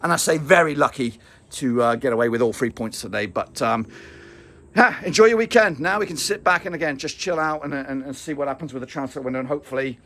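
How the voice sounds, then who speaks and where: A man losing his voice, giving an excitable, rambling reaction to a football match with many fillers and restarts. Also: mid-range voice, fast. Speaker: football fan vlogger. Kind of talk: casual match reaction to camera.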